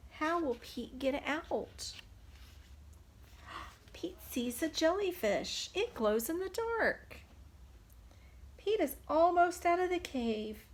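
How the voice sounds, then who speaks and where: Female preschool storyteller, highish voice, unhurried delivery, engaging small children with simple questions. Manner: expressive, simple questions addressed to young children. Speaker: preschool teacher reading a picture book aloud. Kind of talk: children's storytime read-aloud.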